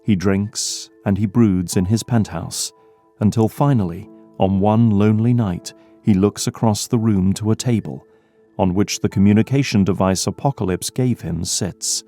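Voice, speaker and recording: A man's voice: on the low side, normal speed, warm tone, soft background music. A man reading scripted dramatic narration as the narrator of an audio drama.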